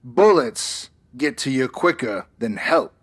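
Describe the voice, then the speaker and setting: A man's voice: medium pitch, lively intonation, slow pace. A man playing a menacing but comical gangster henchman in an audio drama, making mock-polite threats in streetwise, ungrammatical slang. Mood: threatening. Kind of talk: old-time radio gangster acting.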